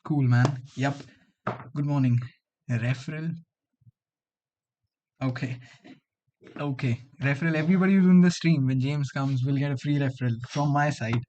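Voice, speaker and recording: A man's voice: mid-range voice, moderate pace, long pauses, a little mellow, a little hiss or hum, poor audio. A man in his twenties from Delhi with an Indian accent and Hindi as his mother tongue, chatting casually and slangily with livestream viewers. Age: 20s. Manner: slangy, bantering, often says bro. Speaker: livestream host, student coder.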